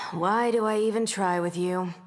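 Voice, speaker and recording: A woman's voice: medium pitch, steady tone, unhurried, noisy background, close to an everyday voice. A woman playing a breezy, sarcastic young fighter in a fighting game, talking in a slangy, flippant way. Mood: flippant, teasing.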